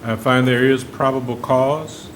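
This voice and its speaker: A man's voice: medium pitch, fairly even intonation, measured pace, slight background noise. Firm male judge repeating questions. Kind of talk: courtroom bond hearing.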